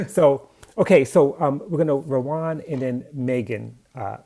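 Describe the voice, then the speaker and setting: A man moderating an online Q&A, speaking informally in halting, broken phrases. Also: medium pitch, dark tone, husky.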